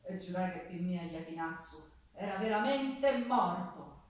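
A woman's voice: fairly low pitch, expressive, unhurried. An actress playing a poor, embattled Sicilian wife and mother in a dramatic stage monologue, with a Sicilian accent and a raw, emphatic storytelling manner. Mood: grim, weary. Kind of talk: dramatic theatre monologue with folk singing. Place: theatre stage, live performance. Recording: echoey stage, distant mic, guitar behind. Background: live acoustic guitar.

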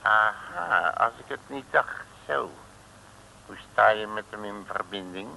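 An actor playing a wily, jocular detective in an old radio serial, with a folksy, teasing manner. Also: male voice, on the low side, a little slow, vocal fry, sharp tone, exaggerated voice. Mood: probing, jocular. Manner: folksy, teasing, colourful mock-oaths. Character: wily, jocular male detective, self-styled uncle. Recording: noisy old radio drama recording.

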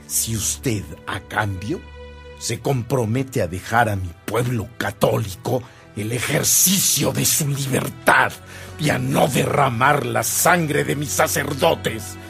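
An actor playing a devout, self-sacrificing bishop in a radio drama, measured and declamatory, with a gravelly voice. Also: male voice, medium pitch, a bit bright, full character voice. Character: solemn, devout, self-sacrificing bishop dictating a protest letter.

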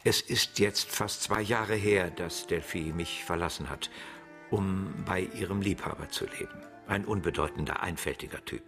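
An actor playing a proud, bitter, erudite betrayed husband in a German radio crime drama, articulate and disdainful, with a husky voice. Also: male voice, low voice, normal speed, fairly bright tone, faint music behind. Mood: bitter, resigned. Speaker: actor playing a murder suspect.

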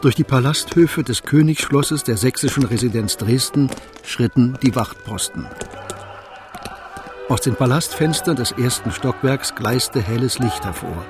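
A man's voice: mid-range voice, animated, a little slow. A man with a standard German accent narrating a scripted radio drama. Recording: music under the voice. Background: music.

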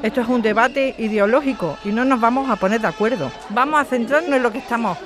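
An actress playing an indignant activist lawyer and daughter in an audio drama, challenging her opponent with forceful questions. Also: fairly high pitch, fast talker, over music, lightly acted. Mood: indignant, defiant. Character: combative activist lawyer daughter defending evicted neighbours.

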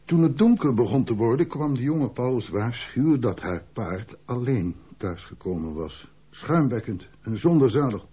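A man's voice: mid-range voice, expressive, moderate pace, few pauses, slightly rough. An actor playing a frank, loyal army captain in a Dutch radio drama, deferential but emphatic as he speaks. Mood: grave, recounting. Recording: muffled, band-limited old radio recording.

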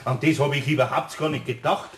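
A man's voice: medium pitch, steady tone, fairly quick, a bit bright, close to an everyday voice. An actor playing a good-natured older master craftsman with a Bavarian accent and a homely, muttering manner in a children's radio play. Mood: puzzled, flustered.

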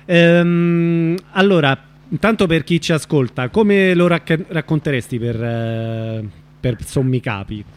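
A male radio host with a fairly high voice for a man. He interviews guests informally and tends to hesitate as he shapes his questions. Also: slow pace, clean tone.

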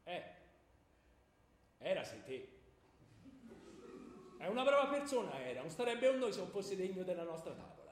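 Echoey hall, indulgent divine husband, high swooping voice, wheedling, colloquial, comic radio play. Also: male voice, big pitch swings, normal speed, long pauses. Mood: curious. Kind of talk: comic radio theatre dialogue. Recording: echoey hall with faint background noise.

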